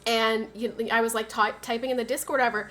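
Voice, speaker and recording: A young woman with a nasal voice, stuffed up by a cold, talking to her viewers in a bright, slightly high register. She drifts loosely from story to story, packing her sentences with fillers and restarts. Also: level tone, brisk. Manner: rambling, filler-heavy storytelling. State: has a cold, stuffy sinuses. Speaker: streamer. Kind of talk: casual livestream chat.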